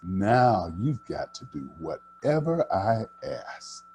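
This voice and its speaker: A man's voice: lowish voice, sing-song, slow pace, a little mellow, soft background music, poor audio. A man voicing a determined, spirited country girl in a storybook, with emphatic folksy phrasing. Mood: triumphant, proud.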